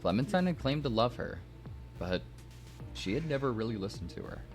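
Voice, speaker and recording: A man narrating prose fiction as a dramatic reading, measured and expressive. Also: mid-range voice, over music. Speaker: narrator and host of a story stream.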